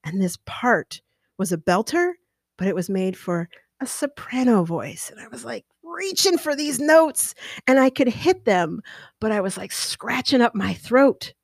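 A middle-aged woman with a lower mezzo-alto voice, hosting a podcast and telling a personal story in a lively, animated way, talking with her hands. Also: unhurried, creaky, dry recording. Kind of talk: casual storytelling. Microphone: podcast microphone, close.

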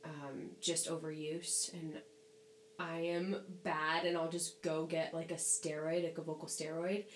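A young woman chats easily as a guest, her voice sitting a bit low and a little nasal from a recent cold. She talks in a loose, lively run full of "like"s and bursts of laughter. The room rings with echo and a faint hum sits underneath. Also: unhurried. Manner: chatty, animated, laughs often, many "like"s. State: slightly nasal from recent sickness. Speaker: podcast guest, singer. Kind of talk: casual conversation.

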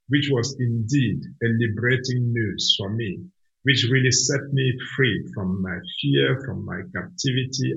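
A man's voice: lowish voice, gravelly, fairly bright tone. A man from Cameroon, a mission organization director, earnestly answering questions in an online interview with frequent restarts. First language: a Cameroonian language. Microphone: video call. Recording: dull lo-fi online call.

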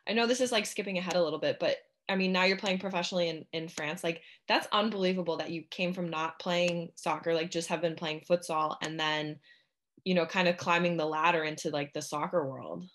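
A young woman hosts a podcast with a chatty, easygoing delivery, leaning on plenty of fillers. Her voice sits a little low for a woman, and she talks in a relaxed way with her guest. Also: fairly quick, slight background noise. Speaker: podcast host. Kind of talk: casual interview.

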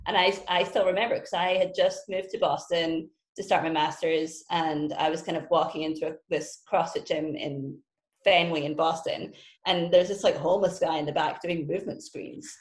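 A woman's voice: low-pitched, fairly even intonation, normal speed. A Scottish woman in her thirties, a podcast guest chatting casually with a witty, teasing, blunt manner. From Scotland. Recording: roomy echo.

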